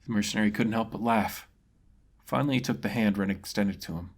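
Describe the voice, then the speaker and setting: A man's voice: lowish voice, a little fast, creaky. A man reading scripted fantasy narration as a storyteller.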